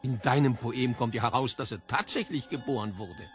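A man's voice: mid-range voice, normal speed, faint music behind, close to an everyday voice. A man playing a self-assured, learned literary editor in a radio play, lecturing in a didactic, pompous manner.

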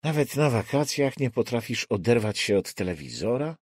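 A man's voice: medium pitch, sing-song, normal speed, darkish tone, breathy edge, no room sound, studio quality. A man voicing an ironic, teasing young pregnant woman in an audiobook.

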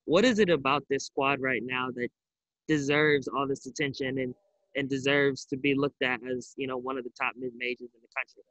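A man's voice: mid-range voice, fairly even intonation, normal speed, a bit bright, dry recording, poor audio. A young man working as a sports reporter, asking wordy, conversational questions at a press conference. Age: young adult. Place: indoor arena stands.

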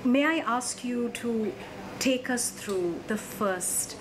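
A middle-aged woman with a Sri Lankan accent, hosting a formal book interview in a measured, articulate way. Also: fairly high pitch, noisy background. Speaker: television interview host. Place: indoor room, seated.

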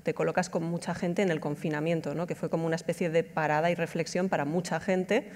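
A middle-aged woman interviewing an author on stage, asking long, measured questions. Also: deep voice, fairly even intonation, a little mellow. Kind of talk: onstage interview. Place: large auditorium stage. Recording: long hall reverb.

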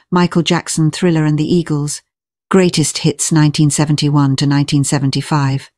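A woman's voice: lowish voice, darkish tone, no room sound, studio quality. A woman reading a scripted informational narration as the narrator.

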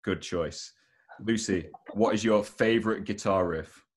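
A man's voice: fairly low pitch, a little slow. A man in his twenties doing a casual interview over a video call, with informal, hesitant phrasing. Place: home room, video call. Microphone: headset on video call.

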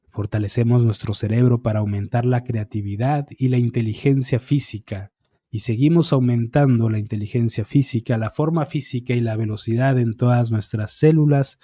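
Calm man reciting affirmations, mellow tone. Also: medium pitch, no echo, clean studio sound. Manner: calm, measured recitation of repeated affirmations in long lists; eyes often closed, fingertip to temple, concentrating. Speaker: energy healing practitioner. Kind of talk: guided affirmation session. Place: small dark room, indoors. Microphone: studio microphone on boom arm, close.

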